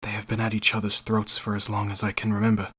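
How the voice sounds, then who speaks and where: An actor playing a wry veteran knight captain in a fantasy audio drama, storytelling in a conversational way. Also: male voice, on the low side, completely flat delivery, fast, a bit bright, breathy edge. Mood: wry, confiding.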